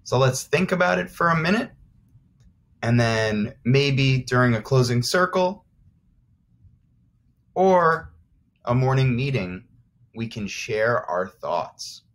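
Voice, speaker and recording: A man's voice: medium pitch, expressive, slow pace, pauses often, a bit bright. An American man, a teacher of young children, reading aloud and explaining simply as he addresses the children directly. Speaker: first grade teacher.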